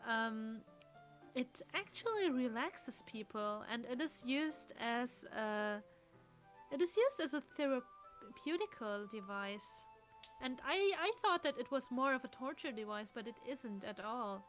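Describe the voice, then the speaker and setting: A woman's voice: a little high, animated, a little slow, fairly bright tone, soft background music. A woman co-hosting a let's play, chatting casually and explaining things in a thoughtful way. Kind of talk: casual chat.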